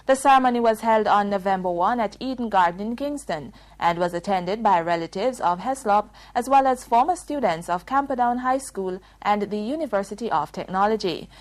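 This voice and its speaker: A woman with a Jamaican accent reading scripted news bulletins in a crisp, formal delivery. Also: medium pitch. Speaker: news presenter.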